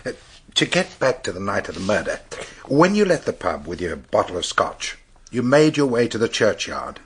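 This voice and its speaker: An actor playing a brisk, sceptical policeman questioning a suspect in a radio drama. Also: fairly low pitch, big pitch swings, slight background noise. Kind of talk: British radio drama acting.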